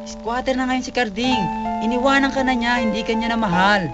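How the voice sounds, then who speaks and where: A man's voice: extremely high pitch, fairly even intonation, brisk, lo-fi sound. A man playing a scheming, lecherous suitor who coaxes slyly and insistently in a comic radio drama. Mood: sly persuasion. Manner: sly, insistent coaxing. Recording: radio broadcast with background music.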